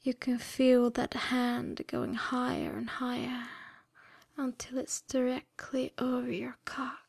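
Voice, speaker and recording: A woman in a scripted hypnosis ASMR style, mostly whispering breathily in a hushed, deliberate, soothing yet commanding manner. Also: on the high side, monotone, creaky, no echo, clean studio sound. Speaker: erotic hypnosis audio performer.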